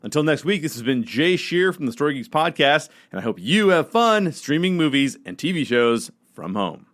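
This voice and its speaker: A man's voice: on the high side, sing-song, moderate pace, fairly bright tone. A man speaking as a podcast co-host, reading a scripted promo in a conversational way to listeners.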